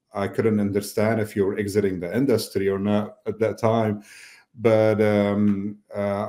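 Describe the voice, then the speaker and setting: A man's voice: fairly low pitch, mellow. A middle-aged man from Lebanon, a mortgage business owner, talking casually in an interview over a video call from his office, thoughtful and full of fillers and restarts. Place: indoor office. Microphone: video call webcam mic.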